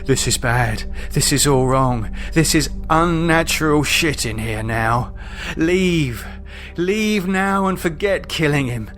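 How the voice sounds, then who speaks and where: Guy narrator doing a terrified vampire hunter muttering to himself, urgent, higher pitched, horror audiobook. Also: lively intonation, slow pace, fairly bright tone, soft background music. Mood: panicked warning. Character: frightened man steeling himself to kill a vampire.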